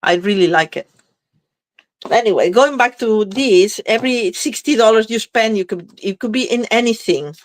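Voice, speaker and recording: A woman's voice: a little high, moderate pace, no echo. A slightly congested woman chatting casually as she demonstrates card making, thinking aloud while she works. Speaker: craft demonstrator. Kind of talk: live crafting demo chat.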